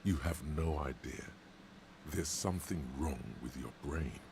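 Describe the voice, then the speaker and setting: A man voicing a deep, gravelly narrator in a detective game, measured and slow. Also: noisy background.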